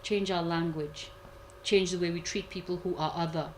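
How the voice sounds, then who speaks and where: A woman commentator giving a measured analysis, repeating key points for emphasis. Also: lowish voice, fairly even intonation, a little hiss or hum, poor audio. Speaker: guest commentator. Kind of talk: analytical discussion.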